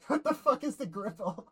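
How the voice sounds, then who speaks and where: A woman's voice: fairly high pitch, breathy edge. A woman chatting casually while playing a game with friends, swearing, laughing and stumbling over her words. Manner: swearing, laughing, rambling restarts. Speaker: streamer. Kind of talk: casual gaming chat with friends.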